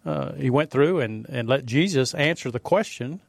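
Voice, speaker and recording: A man co-hosting a morning religious radio show, giving event announcements in a steady, measured way. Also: mid-range voice, gravelly, dry recording. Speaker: radio co-host. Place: radio studio.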